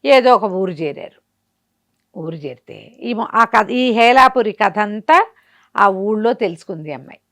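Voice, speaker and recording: A woman's voice: medium pitch, fairly bright tone. A woman telling a folk tale conversationally, often asking and answering her own questions. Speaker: storyteller. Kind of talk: spoken folk-tale storytelling.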